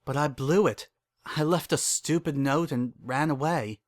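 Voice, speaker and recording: A narrator voicing a sorrowful, world-weary pony father in a dramatic story, halting and hollow in delivery. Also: mid-range voice, dry recording, pitched above the performer's own voice, lightly acted. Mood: self-reproaching. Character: guilt-ridden, weary old time-traveling stallion father.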